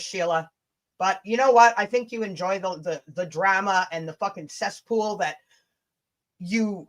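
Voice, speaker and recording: A woman hosting a casual livestream rant, blunt, combative and sweary, with chatty asides to her viewers. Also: mid-range voice. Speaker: livestream host.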